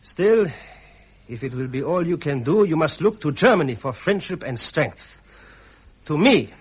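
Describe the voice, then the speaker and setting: An actor playing an arrogant, ambitious younger Prussian royal with a husky voice and grandiose, clipped manner in a radio drama. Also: male voice, a little high, sing-song, unhurried, heavily acted. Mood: grandiose, scheming. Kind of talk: British radio drama acting.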